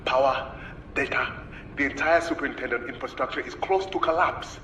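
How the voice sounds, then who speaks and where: A man's voice: mid-range voice, a little fast, sharp tone. An actor playing an earnest, anxious middle-aged father speaking over a radio link in an audio drama. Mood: worried. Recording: distant, echoey radio with noise.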